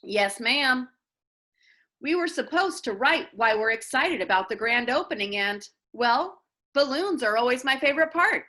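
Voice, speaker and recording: A woman's voice: sits high, animated, measured pace, bright, forceful tone, full character voice. Woman voicing a sheepish, mumbling schoolboy. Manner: hesitant, mumbling. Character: sheepish, unprepared middle school boy.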